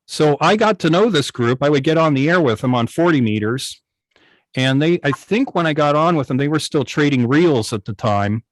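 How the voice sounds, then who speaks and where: Halting older American man, close dry sound. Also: fairly high pitch, lively intonation, no echo, rough recording. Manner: halting, pausing often mid-thought. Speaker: guest, old-time radio station operator. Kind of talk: informal storytelling in an online club meeting. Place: remote video call.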